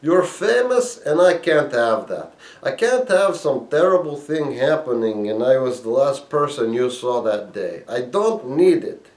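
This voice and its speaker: A man's voice: medium pitch, sing-song, a little slow, a little mellow, voice pushed up. A man voicing a blunt, insistent young Russian woman who deals drugs, in an audiobook-style reading. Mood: firm, fed up. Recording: echoey room.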